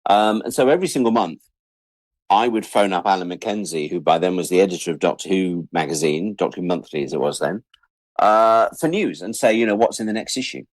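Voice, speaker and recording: An English man in his sixties speaks in a low voice, recorded close and dry. He tells stories casually and with self-deprecation, slipping into acted-out quotes as he goes. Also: brisk, no echo. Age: 60s. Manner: animated storyteller, self-deprecating, acts out quoted remarks. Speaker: interview guest, writer and editor. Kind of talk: casual anecdotal interview talk.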